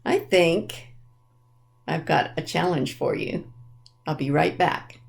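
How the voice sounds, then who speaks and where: A woman presenting a children's storytime lesson in simple words, spelling things out deliberately and checking understanding with questions. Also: low-pitched, creaky.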